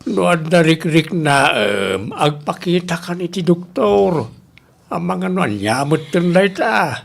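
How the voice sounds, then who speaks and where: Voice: mid-range voice, big pitch swings, slow pace, vocal fry, exaggerated voice. A performer playing a concerned, exasperated old father who nags and scolds in a radio drama.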